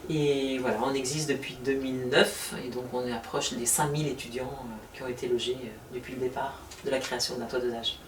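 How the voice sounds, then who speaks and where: A woman answering interview questions about her housing association, talking fast with many hesitations. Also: very deep voice, steady tone, slight background noise. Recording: roomy sound from far off.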